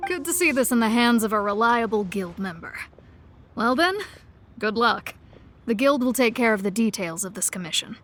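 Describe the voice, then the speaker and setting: A woman's voice: fairly high pitch, lively intonation, normal speed, a bit bright. A woman playing a polite young guild receptionist in a video game, speaking formally and courteously. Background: faint game music.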